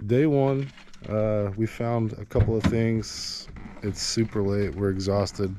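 A man's voice: fairly low pitch, fairly even intonation, takes it slowly. A man chatting casually on a road-trip vlog, laid-back and upbeat with slangy talk. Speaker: vlog co-host. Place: outdoors by dumpsters, windy. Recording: loud wind noise outdoors.

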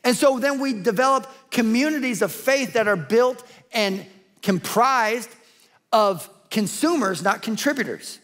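Emphatic high-voiced male pastor preaching. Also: expressive, unhurried, a bit bright. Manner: emphatic, building repetition. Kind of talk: sermon. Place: large church stage.

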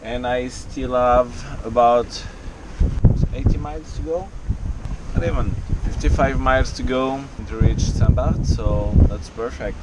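A man in his thirties from France with a French accent, casually narrating a solo sailing trip, relaxed and chatty with many fillers. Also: medium pitch, unhurried. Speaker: solo sailor vlogger. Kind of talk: casual video diary. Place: small sailboat cockpit at sea, outdoors. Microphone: action camera held at arm's length. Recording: wind and sea noise on action camera. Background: wind and water noise.

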